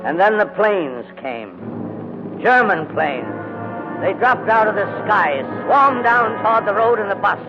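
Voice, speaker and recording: An actor playing a crusty but kindly older gentleman in a formal, hesitant manner, in 1940s radio drama style. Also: sits high, animated, unhurried. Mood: somber narrating. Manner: fussy, grumbling, formal, hesitant. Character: crusty, reluctant but kindly old English gentleman guardian. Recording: muffled vintage 1940s radio recording, music.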